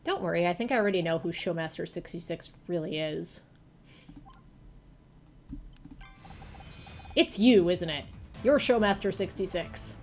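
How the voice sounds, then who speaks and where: A woman's voice: fairly low pitch, brisk, faint music behind, lightly acted. A woman voicing an earnest amateur sleuth in a visual novel, probing and persistent with questions. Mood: accusing.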